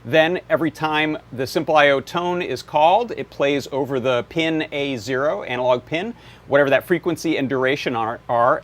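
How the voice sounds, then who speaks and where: A man's voice: mid-range voice, a bit bright. A man hosting a live electronics workshop, explaining demonstrations in a casual way. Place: indoor workshop.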